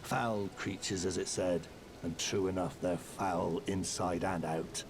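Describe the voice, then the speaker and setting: An actor playing a grave, dutiful castle warden and father in a fantasy game, with a gravelly voice. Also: deep voice, fairly even intonation, measured pace, noisy background. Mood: weary, bitter. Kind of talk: scripted fantasy game dialogue. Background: music.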